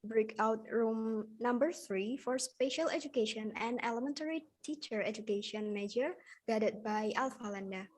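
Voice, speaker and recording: A woman's voice: a little high, moderate pace, hardly any pauses, darkish tone, rough recording. A woman acting as master of ceremonies at a webinar, formally reading out agenda announcements.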